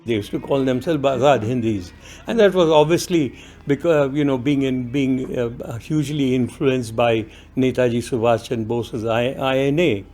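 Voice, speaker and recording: A man speaking in a documentary interview, halting with frequent fillers and restarts. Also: mid-range voice, a little mellow, soft background music. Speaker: interviewee historian.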